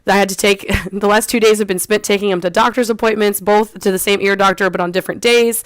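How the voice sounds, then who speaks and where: A young woman rambles through her experience in a relaxed, chatty way, peppered with ums, restarts and small laughs. Her voice is smooth and clear. The sound is dry and close, with no room echo. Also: medium pitch, fast talker. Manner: laughs lightly between phrases. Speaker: online English teacher. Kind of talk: casual vlog chat.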